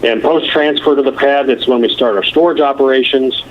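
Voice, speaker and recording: A man giving a halting technical explanation as an engineer presenting on a telephone conference call. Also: medium pitch, fairly even intonation. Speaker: nuclear plant engineer presenting. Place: conference call over the phone. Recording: muffled, noisy telephone conference line.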